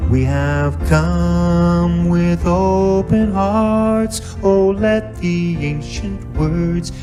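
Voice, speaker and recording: A woman singing a devotional hymn as a worship singer, slowly and with musical accompaniment. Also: lowish voice, over music.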